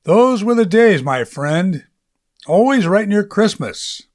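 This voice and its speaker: A man's voice: high voice, sing-song, takes it slowly, darkish tone, voice pushed up, exaggerated voice. A man voicing a friendly, nostalgic male moviegoer in an audiobook, with a casual way of talking.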